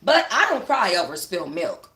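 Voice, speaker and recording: A woman's voice: on the low side, very animated, normal speed, bright, forceful tone, reverberant. A woman narrating a first-person story for a book club in a dramatic, sassy, slangy manner.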